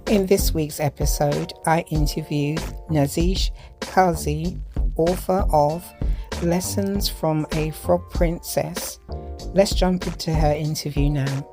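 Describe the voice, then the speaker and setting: A woman hosting a podcast, delivering the intro and questions in a polished, measured way. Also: low-pitched, fairly even intonation. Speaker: podcast host. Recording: music playing behind the voice.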